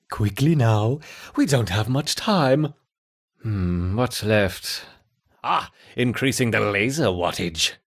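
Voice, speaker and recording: A mad scientist for a video game, gleefully cruel and theatrical. His voice is rough and husky, swooping enormously from low murmurs to high shrieks. He draws words out slowly with mock sweetness, as if coddling his test subjects. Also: full character voice. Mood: sly, hurried scheming. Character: unhinged male scientist, gleefully cruel, running insect experiments. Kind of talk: scripted video game voice acting.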